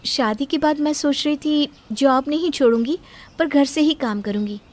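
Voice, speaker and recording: A woman puts on an earnest young bride-to-be for a dramatized story. The voice is pitched high, gentle and polite, yet questioning and firm. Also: moderate pace, natural voice. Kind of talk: acted Hindi audio story.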